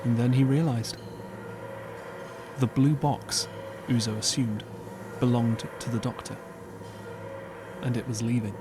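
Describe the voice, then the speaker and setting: A man narrating an audiobook as scripted storytelling, measured and earnest, with dramatic pauses. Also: medium pitch, fairly even intonation. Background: clear background music.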